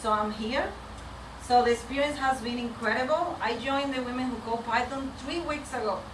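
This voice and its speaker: A woman with a Venezuelan Spanish accent speaks in a fairly high voice, recorded at a distance in a noisy, reverberant room. She gives an informal personal talk as a community speaker, candid and slightly nervous in her halting delivery. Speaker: community track lead speaker.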